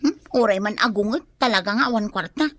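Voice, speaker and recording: A woman's voice: medium pitch, fast, fairly bright tone, no echo, natural voice. A woman playing a boastful, evasive young working daughter in an Ilocano radio drama, whiny and defensive with an Ilocano accent. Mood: defensive, irritated.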